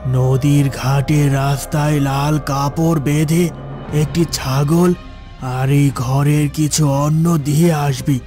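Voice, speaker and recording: A man acting a menacing jinn bent on revenge. The voice is dark and heavy, in the dramatic manner of a horror cartoon, with music behind it. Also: fairly high pitch, steady tone, takes it slowly. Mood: demanding. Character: vengeful menacing jinn possessing a villager.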